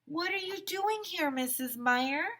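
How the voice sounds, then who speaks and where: A woman voicing excitable schoolchildren from a children's storybook, exclaiming with breathless emphasis. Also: high voice, measured pace, pitched above the performer's own voice, exaggerated voice. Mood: eager.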